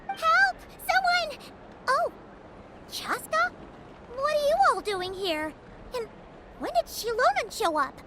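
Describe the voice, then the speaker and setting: Squeaky, excitable little game sidekick. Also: female voice, slow pace, pauses often, heavy background noise, heavily acted. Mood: panicked. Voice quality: squeaky, childlike. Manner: excitable, refers to self by name. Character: small excitable flying sidekick who talks in third person.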